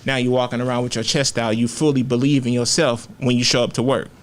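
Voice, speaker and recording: A man's voice: mid-range voice, little pitch movement, quick pace, a little hiss or hum. A man, talking candidly and with plenty of profanity and slang as a rapper guest in a casual interview. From Oakland, California.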